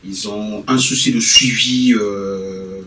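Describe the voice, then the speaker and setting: A middle-aged man from Congo-Brazzaville, answering questions as a TV interview guest and often pausing with fillers. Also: lowish voice, fairly even intonation, bright, forceful tone. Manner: hesitant, many filler pauses. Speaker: interview guest, company founder. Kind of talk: TV studio interview. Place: TV studio. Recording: roomy studio, distant mic, faint noise.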